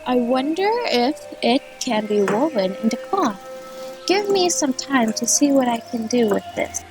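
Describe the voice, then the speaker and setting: A woman playing a curious, gracious empress in an audio play, with a gentle, inquisitive manner. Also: on the high side, animated, measured pace. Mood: wondering, eager. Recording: faint music behind the voice.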